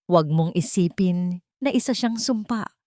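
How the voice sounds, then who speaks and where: A woman voicing a calm, wise, gentle old grandmother in a story, speaking softly and soothingly. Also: on the low side, no echo, studio quality, close to an everyday voice. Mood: calm, tender. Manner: soft, slow, soothing delivery.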